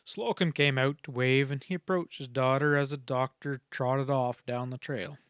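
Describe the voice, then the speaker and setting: A man's voice: mid-range voice, fairly even intonation, moderate pace, a bit bright, clean tone, no room sound, studio quality. A man reading a Western novel aloud as scripted audiobook narration.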